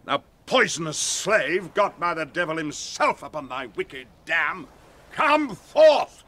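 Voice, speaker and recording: A man's voice: fairly high pitch, very animated, takes it slowly, heavily acted. Man acting a stern, wildly dynamic sorcerer master. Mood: angry. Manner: imperious, theatrical, swinging from hushed to thundering. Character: commanding, stern male sorcerer master and father. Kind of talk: Shakespearean audio drama acting.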